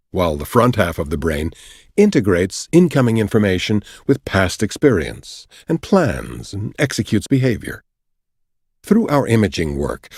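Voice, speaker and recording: A man reads nonfiction as an audiobook narrator, with a slightly low, husky voice. His delivery is deliberate and explanatory, moving widely up and down in pitch as he lays out each point. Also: a little mellow, no echo, clean studio sound. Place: dry recording studio.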